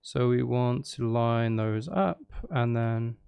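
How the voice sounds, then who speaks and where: A man's voice: mid-range voice, slow pace, creaky, dull audio, loud music behind the voice. A man chatting casually on a live stream, thinking aloud as he works through a task, repeating phrases and questioning himself. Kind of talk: casual live stream chat while editing music.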